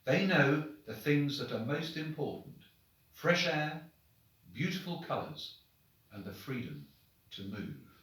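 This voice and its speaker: A man, a headmaster, reading short stories aloud to children in an expressive, deliberate, dramatic storybook manner. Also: mid-range voice. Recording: very echoey room, distant microphone.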